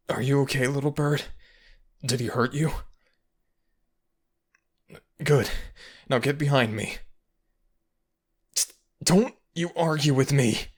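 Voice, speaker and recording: A man's voice: medium pitch, breathy edge, pitched above the performer's own voice. A man playing a fiercely protective male kitsune guardian in an ASMR audio roleplay, speaking firmly and with commanding urgency.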